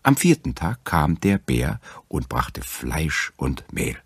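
A man's voice: a bass voice, very animated, takes it slowly, breathy edge, no room sound. A man narrating a fairy tale as scripted storytelling.

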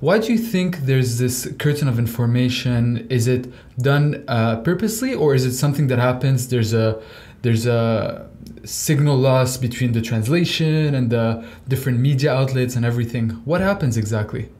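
A man's voice: medium pitch, moderate pace, darkish tone. A young man from Lebanon hosts this interview over a video call from a room at home. His voice sits in an ordinary male range, and he asks long, winding questions that restart midway. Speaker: podcast host.

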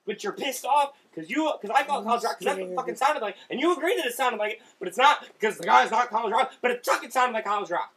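Fast, sharp-voiced young man. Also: extremely high pitch, expressive, slightly rough. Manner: blunt, heavily profane. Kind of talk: casual argument and chat among friends. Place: basement living room. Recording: distant laptop mic, faint noise.

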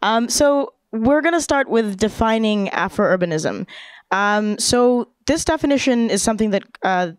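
A woman from East Africa co-presenting informally at a conference, with a hesitant, halting delivery. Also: on the high side, animated, clean tone, no room sound. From East Africa, Uganda and Kenya. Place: conference session.

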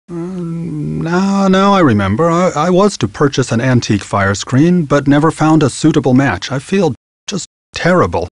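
An actor as a pompous, animated antiques dealer. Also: male voice, mid-range voice, no room sound, studio quality, natural voice. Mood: flustered, apologetic. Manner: formal, precise, aloof, pompous. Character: prim, bookish, courteous male antiques dealer. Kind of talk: video game voice acting.

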